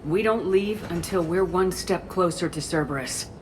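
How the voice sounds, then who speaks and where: A woman's voice: fairly low pitch, normal speed, slight background noise, lightly acted. An actress playing a hard, determined woman military commander in a video game, terse and commanding. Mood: commanding, focused.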